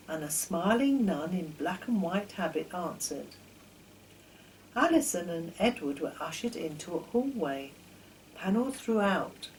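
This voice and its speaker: An older woman reading a children's story aloud in an expressive, deliberate storytelling manner. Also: on the low side, a little hiss or hum, rough recording. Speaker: author reading her own children's stories. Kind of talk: storybook narration.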